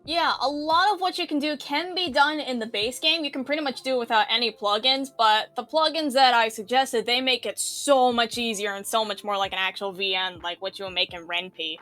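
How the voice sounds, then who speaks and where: A woman's voice: on the high side, brisk, few pauses, pushed and bright, soft background music. A woman giving a casual tutorial, explaining step by step in an eager, affirming way. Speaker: visual novel tutorial teacher.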